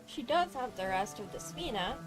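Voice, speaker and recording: Woman, game streamer, high-ish pitch, slow casual delivery, game music clearly behind. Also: sing-song.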